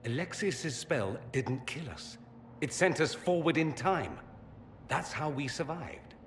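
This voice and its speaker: An actor playing a quick-witted mage companion in a video game, articulate and persuasive. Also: male voice, on the high side, lively intonation, slight background noise. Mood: explaining. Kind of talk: video game cutscene acting.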